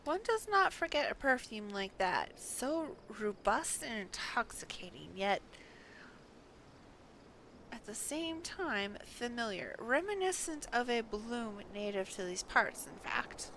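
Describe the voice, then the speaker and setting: Woman reading as a courtly, flowery, unhurried admirer. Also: fairly high pitch, sing-song, slight background noise, exaggerated voice, low-quality recording. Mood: courteous, wistful. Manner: formal, flowery, gently boastful. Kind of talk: game dialogue read aloud in character.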